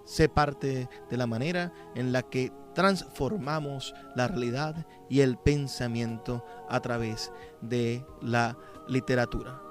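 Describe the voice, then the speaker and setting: Male promo announcer over clear music. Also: mid-range voice, fairly even intonation, takes it slowly. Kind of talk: scripted radio promotional announcement.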